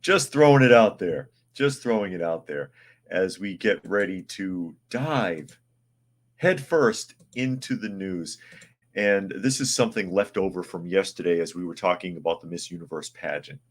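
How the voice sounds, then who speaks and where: Male podcast host, low voice, sarcastic and opinionated, reads news aloud. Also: lively intonation, moderate pace. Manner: sarcastic, opinionated running commentary; reads articles aloud and answers chat comments. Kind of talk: live news commentary podcast.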